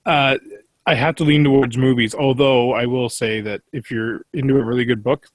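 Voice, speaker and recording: A man's voice: mid-range voice, steady tone, moderate pace, rough recording. A man giving his view in casual chat as a podcast panelist.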